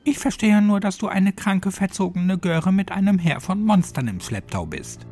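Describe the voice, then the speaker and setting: A man's voice: high voice, expressive, normal speed, darkish tone, soft background music, voice pushed up. A man voicing a rebellious, foul-mouthed seventeen-year-old girl, sarcastic and angry, in a horror audiobook. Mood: angry defiance. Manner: defiant, sarcastic.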